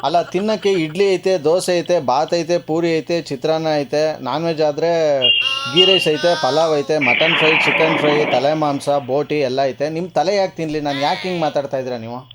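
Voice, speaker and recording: A man's voice: on the high side, brisk, very noisy. A man with a Karnataka Kannada accent making a prank phone call as a radio jockey, pestering and argumentative in colloquial speech.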